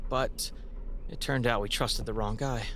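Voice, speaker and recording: A man's voice: mid-range voice, fairly even intonation, a little slow, sharp tone, slight background noise. A man playing a hesitant, earnest young man in an audio drama. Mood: hesitant, troubled.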